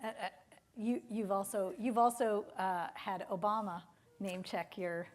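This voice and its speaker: A woman's voice: mid-range voice, unhurried, slight background noise. A woman hosting a casual on-stage interview in an informal, teasing way. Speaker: interviewer moderating an author event. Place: on stage at a theater event.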